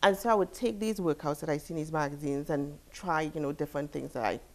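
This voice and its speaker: A woman from Trinidad and Tobago in her thirties, a studio interview guest talking in a relaxed way with lots of "you know" and "like". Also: deep voice, fast talker. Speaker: guest, fitness expert. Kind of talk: casual interview talk. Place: TV studio, seated.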